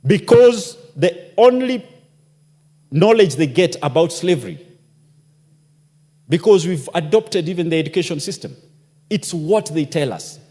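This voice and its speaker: A man addresses parliament with passion and keeps throwing out rhetorical questions. His voice sits a little high and swings widely in pitch. The long reverb of a large chamber hangs behind every word. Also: animated, measured pace, pauses often, mellow. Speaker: member of parliament. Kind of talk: parliamentary debate speech.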